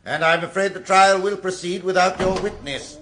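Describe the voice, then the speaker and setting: An actor playing a testy, pompous British judge in a radio comedy drama, impatient and grandly formal. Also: male voice, high voice, steady tone, normal speed, noisy background, natural voice. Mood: testy, dry. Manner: pompous, impatient, dropping Latin phrases.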